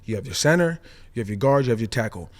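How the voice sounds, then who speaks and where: A man's voice: mid-range voice, animated. A man, a former pro safety, breaking down defensive play in casual sports talk, explaining step by step and tagging points with "right?". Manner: fast, explanatory, frequent "right?" tags.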